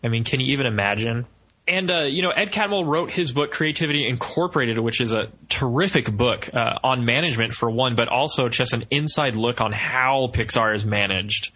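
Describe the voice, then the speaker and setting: A man's voice: medium pitch, fairly quick, sharp tone. A man hosting a podcast, talking through ideas and reading aloud from a book. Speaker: podcast host.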